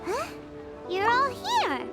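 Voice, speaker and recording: A woman voicing a tiny, excitable floating companion creature in a video game, bubbly and full of exclamations. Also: extremely high pitch, slow, heavily acted. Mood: eager, bubbly. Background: game music.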